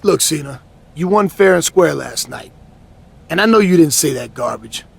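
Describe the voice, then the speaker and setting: An actor playing a proud, straight-talking male rival wrestler in a video game, with a raspy voice and an intense manner. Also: on the high side, animated, normal speed, a little hiss or hum. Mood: respectful. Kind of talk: scripted video game cutscene acting.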